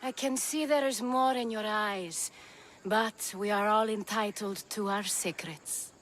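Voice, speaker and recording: A woman's voice: mid-range voice, steady tone, a little hiss or hum, natural voice. A woman playing a flirtatious, worldly, sardonic courtesan of ancient Athens in a video game. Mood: teasing. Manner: sardonic, teasing, unhurried. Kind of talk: video game cutscene acting.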